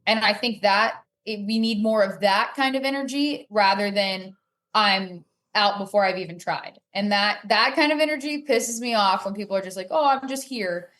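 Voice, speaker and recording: A woman's voice: medium pitch, moderate pace, a bit bright. A woman speaking casually and emphatically as an opinionated player being interviewed on a sports podcast.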